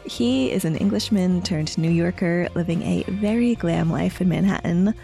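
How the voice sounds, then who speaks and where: An American woman hosting a podcast, introducing and interviewing a guest in a friendly, measured way. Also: fairly low pitch, vocal fry, warm tone, faint music behind. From United States. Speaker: podcast host.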